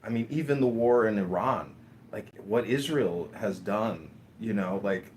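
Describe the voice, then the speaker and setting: A man's voice: on the low side, lively intonation, unhurried, a little hiss or hum. A man talking casually in long rambling stretches, swearing and laughing as he makes his points. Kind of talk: casual conversation.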